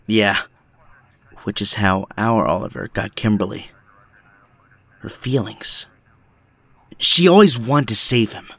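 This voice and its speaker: Lowish, determined rebel strategist played by a man. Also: lively intonation, slow, vocal fry, long pauses, fairly bright tone, heavily acted. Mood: somber. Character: determined, embittered male resistance strategist. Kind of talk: audio drama acting.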